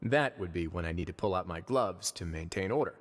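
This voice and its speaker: An actor playing a composed, authoritative duke of a prison fortress in a video game, speaking in a measured, formal way. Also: male voice, low-pitched, lively intonation. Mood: wry, calm. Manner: measured, formal, dryly understated. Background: faint music.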